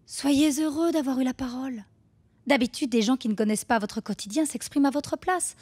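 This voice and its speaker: A controlling woman running a sinister group session in a radio play. Her voice is high and breathy-rough, with mock sweetness and sardonic condescension. The sound is very dry. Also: a little mellow, dry recording. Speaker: session leader. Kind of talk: radio fiction dialogue.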